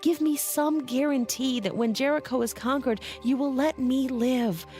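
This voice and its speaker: An anxious woman of the ancient world, played by an actress in a dramatized scripture recording. Her voice sits fairly high and pleads earnestly. Soft music runs behind her. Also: steady tone, normal speed, lightly acted. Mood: fearful, pleading. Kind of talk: dramatized audio Bible acting.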